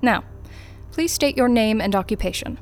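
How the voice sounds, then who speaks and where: A woman's voice: on the high side, lively intonation, soft background music, lightly acted. An actress as a coolly polite female corporate interrogator. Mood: brisk, polite. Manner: brisk, formal, dryly sardonic. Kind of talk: audio drama acting.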